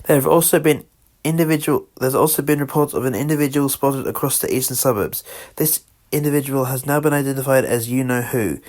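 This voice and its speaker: Halting man reading emergency alert. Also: mid-range voice, a little mellow. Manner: halting, stumbles over the script and corrects himself. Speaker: radio emergency announcer in a fan-made scenario. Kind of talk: amateur scripted emergency broadcast narration.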